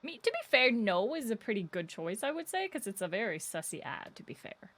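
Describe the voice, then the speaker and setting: Lively, bright-voiced woman streamer. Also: medium pitch, brisk. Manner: playful, talks back to the video. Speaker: streamer reacting to a horror series. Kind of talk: casual livestream reaction commentary.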